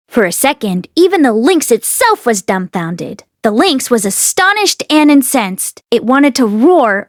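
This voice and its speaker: A woman narrates a fantasy novel in a fairly high-pitched voice. She reads like an expressive storyteller working from a script. The sound is very dry, with hardly any room on it. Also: no room sound. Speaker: audiobook reader.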